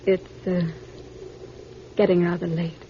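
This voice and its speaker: A woman's voice: fairly low pitch, little pitch movement, slow pace. A woman playing a mysterious, wistful married femme fatale in 1940s radio drama style, hesitant and melodramatic. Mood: wistful, confiding. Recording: muffled, noisy vintage radio recording.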